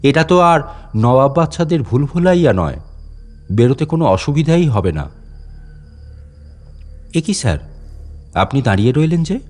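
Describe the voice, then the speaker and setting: A man's voice: fairly high pitch, animated, normal speed, pauses often, darkish tone, faint music behind, close to an everyday voice. A man playing a wary, talkative fort guide in a dramatic storytelling monologue, chatting to unseen visitors and putting questions to them. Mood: brisk, dismissive, then questioning, defensive. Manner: conversational, addressing unseen listeners with questions. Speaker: audio story narrator. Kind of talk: dramatic first-person monologue narration.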